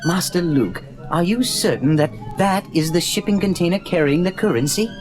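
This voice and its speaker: A man's voice: sits high, expressive, moderate pace, music in the background. A man playing an anxious, fussy, polite protocol droid in an audio drama, speaking in prim, formal phrases. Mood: worried.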